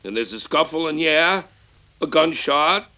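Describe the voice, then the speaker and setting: A man plays a brash showbiz husband who makes excuses in a breezy, defensive tone. His voice has a bright, forceful edge. The delivery is scripted audio drama monologue. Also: a little high, animated, a little slow.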